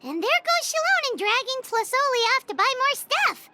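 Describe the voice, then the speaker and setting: A woman voicing a tiny, chatty, excitable fairy companion with a chirpy manner in an anime game. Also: extremely high pitch, moderate pace, over loud music. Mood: chatty, enthusiastic. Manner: refers to self in third person, chirpy.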